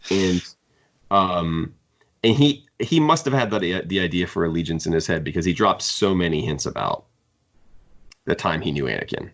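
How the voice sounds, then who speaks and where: Deep-voiced man on a casual podcast, slightly muffled. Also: animated, normal speed, fairly bright tone. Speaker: podcast host. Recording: dull, lo-fi sound.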